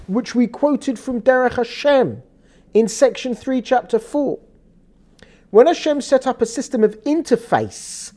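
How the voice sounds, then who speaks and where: A woman with an English accent giving a lively, conversational religious lecture, acting out quoted lines as she explains. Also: fairly high pitch. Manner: animated, conversational teaching with quoted dialogue. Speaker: Torah teacher.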